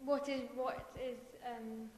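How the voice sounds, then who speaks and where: Loud-voiced woman asking questions in a hall. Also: fairly high pitch, fairly even intonation, slow, slight background noise. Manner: hesitant, many ums, wry. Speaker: audience member asking a question. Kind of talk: audience question. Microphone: roving handheld mic. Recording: reverberant hall with faint ambience.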